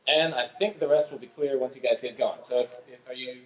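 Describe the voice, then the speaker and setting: A man hosting a live improv show, explaining games in a hesitant, halting way. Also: medium pitch, fairly bright tone. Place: theatre stage in front of audience. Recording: echoey live stage room.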